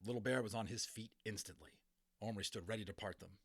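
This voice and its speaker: A man reading a storybook aloud as a dramatic, expressive narrator. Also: lowish voice, fast talker, breathy edge. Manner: expressive, theatrical. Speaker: audiobook narrator.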